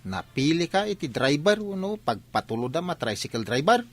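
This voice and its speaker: Fairly high-voiced nosy village man acted. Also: big pitch swings, normal speed, faint music behind. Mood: wry. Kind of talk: Ilocano comedy radio drama acting.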